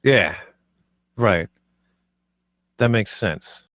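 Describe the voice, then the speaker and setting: Cartoon straight man, twenty-eight male reader: unhurried, hesitant, deadpan, close dry sound. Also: on the low side, dry recording, heavily acted. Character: hesitant, reasonable 28-year-old man, deadpan roommate. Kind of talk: animated comedy script table read.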